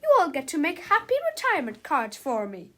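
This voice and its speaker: A mean schoolteacher from a children's storybook. Her voice sits very high and swoops sharply as she barks orders. She is bossy and harsh, snapping and yelling at her class. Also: wildly swooping pitch, fairly quick. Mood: bossy, snappy. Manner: harsh, shouting, commanding. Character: mean, bossy woman schoolteacher who snaps and yells.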